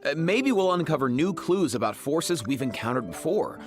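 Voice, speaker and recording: Animated man presenting, music behind him. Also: on the high side, moderate pace. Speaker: co-host of a video game news program. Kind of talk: scripted presenting with casual banter. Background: background music.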